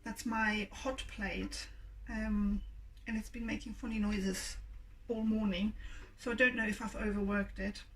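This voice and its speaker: Woman, croaky, high-ish voice, casual demo, mic a little distant, faint hum. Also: a bit bright. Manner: chatty, hesitant with many ums. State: croaky voice, clearing throat. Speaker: yarn dyer and shop owner. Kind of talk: casual demonstration video. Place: home workspace with hot plate. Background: faint hum of a hot plate.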